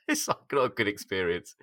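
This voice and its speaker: A man with a hoarse, gravelly voice, co-hosting a podcast in casual chat, laughing a lot and stumbling over his words. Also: lowish voice, fairly bright tone. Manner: laughs often, stammering restarts. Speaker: podcast co-host.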